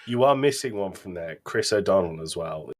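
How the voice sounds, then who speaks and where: Male podcast co-host, husky and lively in pitch, sardonic casual banter. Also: normal speed.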